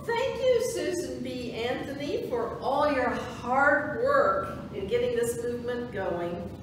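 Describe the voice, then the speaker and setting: Woman as high-voiced, wonderstruck modern researcher. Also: lively intonation, slow pace. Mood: awed, grateful. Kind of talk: amateur reader's theatre. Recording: echoey, noisy room from far off.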